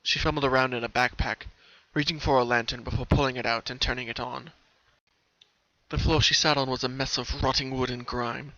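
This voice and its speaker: A man narrates a story in a dramatic, scripted reading style. His voice sits at a medium pitch with a sharp, bright edge. The sound is dull and lo-fi, like a home recording. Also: steady tone, fairly quick, dry recording. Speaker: fan fiction narrator.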